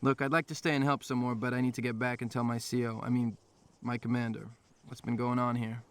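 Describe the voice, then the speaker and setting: An actor playing a young, defiant but earnest American army corporal with a brash, plainspoken manner and an American accent in a game cutscene. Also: male voice, medium pitch, little pitch movement, a little fast, clear, resonant tone, natural voice. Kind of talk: video game cutscene voice acting.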